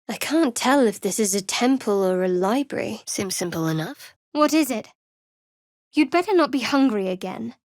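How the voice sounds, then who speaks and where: A woman playing a guarded, sardonic young cleric in a fantasy video game, speaking in a measured, dry, wry way. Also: fairly high pitch, dry recording, natural voice.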